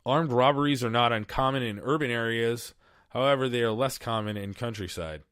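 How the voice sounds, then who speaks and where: A man's voice: medium pitch, fairly quick, fairly bright tone, smooth, no echo, studio quality. A man hosting a solo podcast, reading aloud and then riffing in a casual, profane, rambling way. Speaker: podcast host. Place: small studio.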